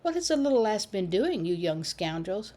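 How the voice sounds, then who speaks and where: Lady reading as a gruff old gatekeeper guy, scolding kids but soft hearted. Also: medium pitch, very animated, normal speed. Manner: scolding, blustering.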